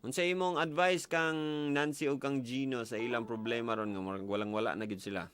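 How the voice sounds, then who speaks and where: A man's voice: mid-range voice, steady tone, fairly quick. A man with a Filipino accent, a native Cebuano speaker, hosting a casual advice show, asking probing questions and giving advice. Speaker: radio host interviewing callers. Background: background music.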